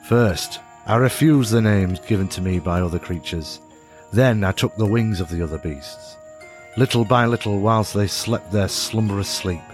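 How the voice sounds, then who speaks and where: Male storyteller as proud wise insect, grand manner, slow deliberate phrasing, storybook mentor, music behind. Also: on the low side, lightly acted. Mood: proud, lofty.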